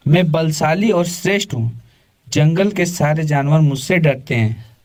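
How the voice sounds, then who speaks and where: A man voicing a proud, boastful lion with a commanding manner in an animated moral story. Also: a little high, unhurried, lightly acted. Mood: proud, demanding. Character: proud boastful male lion, quick to anger.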